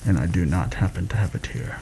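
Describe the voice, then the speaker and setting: A man playing a shrewd, secretive mercenary planner in a soft-spoken ASMR fantasy roleplay, confiding and deliberate in a slightly formal way. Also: background noise, lightly acted. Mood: explaining, serious. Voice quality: low, gravelly, soft-spoken. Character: shrewd, secretive male mercenary plotting a royal assassination.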